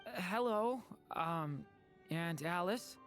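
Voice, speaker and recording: An actor playing a polite, hesitant, gentle young male in a video game. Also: mid-range voice, expressive, slow pace. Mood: shy, unsure. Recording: game music underneath.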